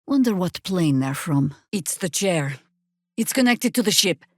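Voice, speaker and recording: An actress voicing a stern, wry veteran druid woman in a fantasy video game, with a dry, sardonic, measured delivery. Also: fairly low pitch, expressive, darkish tone, no echo. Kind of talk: fantasy game character dialogue.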